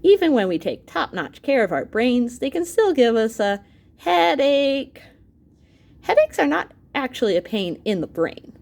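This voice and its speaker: A woman's voice: high voice, unhurried, vocal fry. A woman reading an educational book aloud as a teacher to students, expressively, speaking directly to her listeners with asides. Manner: animated, with asides and exclamations to listeners.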